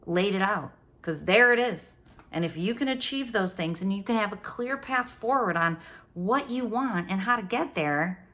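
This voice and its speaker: Low-voiced woman coaching with upbeat drive. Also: fairly bright tone. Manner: upbeat, coaching, direct address with rhetorical questions. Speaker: brain coach and podcast host. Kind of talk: motivational solo talk to camera.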